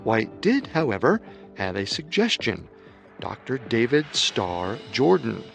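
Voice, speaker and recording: Male narrator, scripted, measured, even, medium pitch, faint music. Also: gravelly, dull audio.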